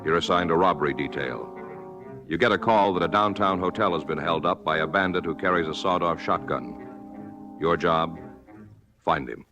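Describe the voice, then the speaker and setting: A male announcer opening a radio drama in a grave, measured 1950s broadcast style. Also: low voice. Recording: old radio broadcast over loud music.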